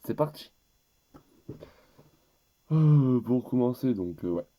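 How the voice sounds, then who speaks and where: A man's voice: medium pitch, expressive, slow, warm tone. A teenage boy with a head cold, rambling and hesitant as he presents gift picks in a casual vlog. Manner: rambling and hesitant, with many restarts. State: stuffed up with a cold. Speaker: YouTuber.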